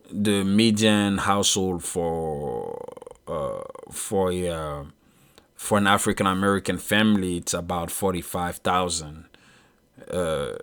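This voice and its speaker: Man in his thirties, Ivorian French accent, native French speaker, low voice, slow deliberate delivery, frequent uh fillers. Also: fairly bright tone. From Ivory Coast. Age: 30s. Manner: measured, with frequent uh fillers and restarts. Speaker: commentator. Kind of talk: solo monologue on culture.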